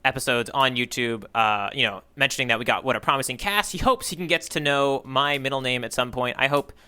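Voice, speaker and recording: A man chats casually as a podcast co-host. His voice is bright and forward, at an ordinary male pitch, and he slips into playful, jokey asides. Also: brisk, clear, resonant tone. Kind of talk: casual readalong podcast chat.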